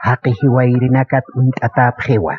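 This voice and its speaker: A man's voice: medium pitch, fairly even intonation, moderate pace, a loud crowd. A man delivering scripted narration for a radio drama. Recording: dull lo-fi radio broadcast, music behind. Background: music.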